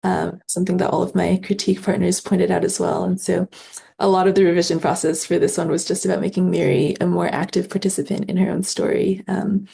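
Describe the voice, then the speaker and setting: Female author guest, husky and gravelly, thoughtful conversational interview delivery. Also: lowish voice, little pitch movement, brisk, warm tone.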